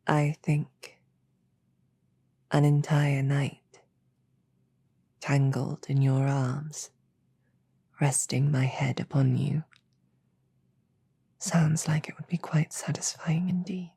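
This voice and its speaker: A sultry succubus, acted out by a woman in a bedtime roleplay audio. The voice is deep for a female, husky and mellow, often sinking toward a whisper. The demoness teases gently and lingers over each phrase with intimate affection. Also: fairly even intonation, heavily acted. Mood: sleepy, seductive. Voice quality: breathy, soft, low. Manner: teasing, intimate, unhurried, halting. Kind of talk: roleplay audio drama, whispered sleep-aid ASMR.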